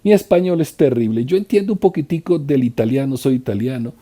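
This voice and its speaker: Dark husky-voiced male interpreter. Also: medium pitch, expressive. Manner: halting, with restarts and trailing phrases. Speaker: simultaneous conference interpreter. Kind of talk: live interpretation of a conference talk.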